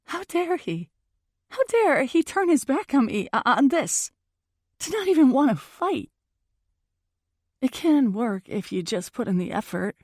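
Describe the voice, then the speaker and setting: A woman's voice: medium pitch, animated, moderate pace, few pauses, mellow, no echo, studio quality. A woman voicing a heartbroken, wounded and furious young woman in first-person audiobook dialogue, emotional and emphatic. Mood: indignant, pleading.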